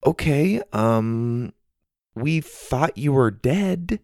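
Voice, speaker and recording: A man's voice: mid-range voice, lively intonation, extremely slow, a little mellow, no room sound, clean studio sound, voice pushed up. A man voicing an earnest, hesitant young granddaughter in a children's storybook.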